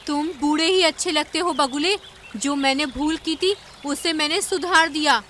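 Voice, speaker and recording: A little female cartoon bird, acted by a woman, with a high, bright voice. She is earnest and emphatic, like a creature in a children's fable. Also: moderate pace, slight background noise. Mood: stern.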